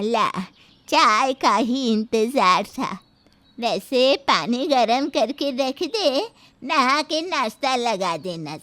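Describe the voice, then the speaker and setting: A woman's voice: on the high side, fairly bright tone, dry recording, heavily acted. A woman voicing a crotchety, bossy old grandmother-in-law in a story, grumbling and commanding. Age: older. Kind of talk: Hindi moral story character acting.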